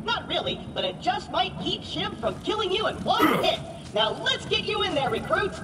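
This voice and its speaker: A man playing an excitable, pompous little robot sidekick in a video game, with a synthetic processed voice. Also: very high-pitched, moderate pace, roomy sound, recorded from a distance, heavy background noise. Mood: bombastic. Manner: pompous, bombastic, exclamatory. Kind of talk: video game character acting.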